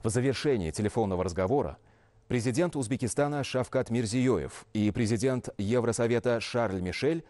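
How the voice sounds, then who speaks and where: A man in his thirties reading scripted news as an anchor in a formal, measured, official manner. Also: medium pitch, mellow. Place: television news studio.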